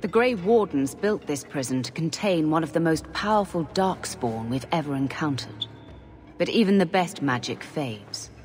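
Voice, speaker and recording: A woman's voice: low voice, lively intonation, normal speed, a little mellow. An actress playing a formal, assured and zealous woman mage who leads a warden order in a fantasy game. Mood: grave, persuasive. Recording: long hall reverb, faint ambient noise.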